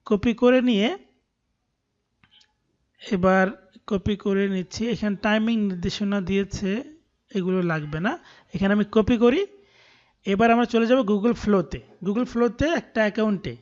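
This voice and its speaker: A man's voice: high voice, unhurried, long pauses, darkish tone. A man with a Bangladeshi accent giving an explanatory screen-recorded tutorial, often checking in with listeners. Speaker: online course tutor. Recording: dull, muffled lo-fi voice recording.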